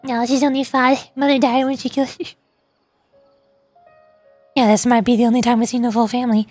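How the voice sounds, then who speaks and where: High-pitched playful woman streamer. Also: flat delivery, fairly quick, darkish tone, no echo. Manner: playful, joking, stammering as she riffs. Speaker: VTuber game streamer. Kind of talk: livestream game commentary with read-aloud dialogue. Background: music.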